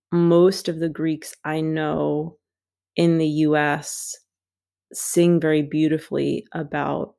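Woman author guest, low and even-toned, unhurried and reflective. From United States. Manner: thoughtful, measured, pauses often. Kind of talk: reflective interview talk.

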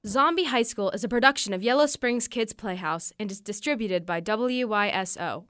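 Woman announcer reading credits, crisp smooth voice. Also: mid-range voice, moderate pace, fairly bright tone, no room sound. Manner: crisp, formal. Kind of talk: scripted credits read.

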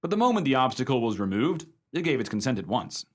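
An actor playing a genial husband spinning a ghost yarn in a conversational, expressive way for a radio play. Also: male voice, medium pitch, quick pace, slightly rough. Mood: confiding. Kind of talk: radio drama storytelling.

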